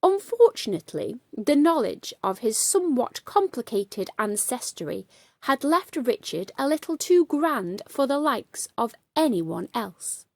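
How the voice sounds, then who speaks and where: A woman reads a children's storybook aloud, expressively and with dramatic, deliberate emphasis. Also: on the high side, sing-song, creaky, no room sound. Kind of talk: expressive bedtime story narration.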